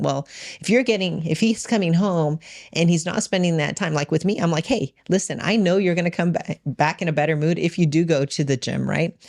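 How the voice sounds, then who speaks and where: A woman's voice: lowish voice, a little mellow, no room sound, studio quality. A woman telling a personal story in conversation, emphatic and often quoting what was said in direct speech. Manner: emphatic, re-enacts dialogue in direct quotes. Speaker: podcast guest and business owner.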